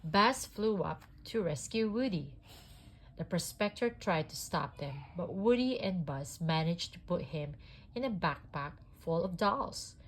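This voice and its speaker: A sniffly woman reading a children's storybook aloud in an expressive, homemade style. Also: on the low side, unhurried, a little hiss or hum, low-quality recording.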